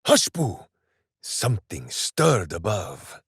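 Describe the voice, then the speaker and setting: Casting: boisterous, earnest berserker hero, fantasy game; unhurried delivery, wildly swinging pitch. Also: male voice, wildly swooping pitch, husky, dry recording, clean studio sound, exaggerated voice. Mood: gruff, earnest. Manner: bombastic, earnest, talks of himself in third person. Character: big, simple, good-hearted berserker hero, boisterous and heroic. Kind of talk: fantasy video game voice acting.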